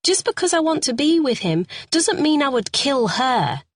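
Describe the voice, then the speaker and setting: A woman's voice: sits high, sing-song, moderate pace, dry recording, clean studio sound, pitched above the performer's own voice. A woman doing a tearful, pleading battered woman.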